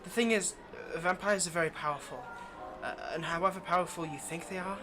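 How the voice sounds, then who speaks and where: A performer playing a smug, possessive vampire in an ASMR roleplay, teasing and condescending with quiet menace. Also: mid-range voice, brisk, music in the background, natural voice. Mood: sly skepticism. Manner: teasing, condescending, drops to whispers.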